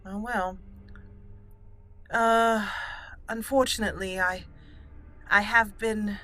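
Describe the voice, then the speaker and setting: Bright-voiced woman roleplaying through a game. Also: medium pitch, steady tone. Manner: halting, thoughtful, formal phrasing. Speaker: gaming channel host, roleplaying player. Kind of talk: roleplay game commentary, improvised in character. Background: game music.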